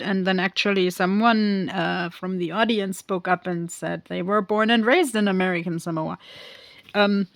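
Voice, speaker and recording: Slow, rambling woman recapping a film. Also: mid-range voice, no room sound. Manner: rambling, goes off on tangents; hesitant, many pauses and fillers. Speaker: film reviewer. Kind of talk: casual film recap with tarot cards.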